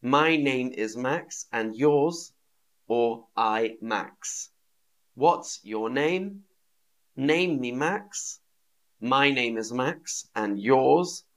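A teacher talks to learners in a live stream. His delivery is extremely slow and drawn out, and he repeats each phrase many times. His pitch sits in a middle range and swings up and down a lot. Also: fairly bright tone. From England. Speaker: English teacher.

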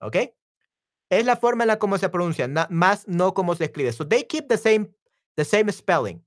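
A voice actor with a Salvadoran accent giving a casual language lesson, chatty and repeating words slowly, often checking in with the listener. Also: medium pitch, sing-song. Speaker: Spanish teacher and voice actor. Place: room with some echo.

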